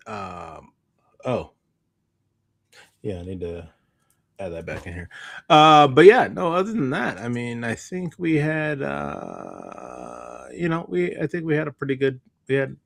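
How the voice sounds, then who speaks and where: Low voiced guy podcast co-host, rambles, stops and starts, thinking out loud. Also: vocal fry. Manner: rambling, halting, thinking aloud. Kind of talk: casual unscripted chat.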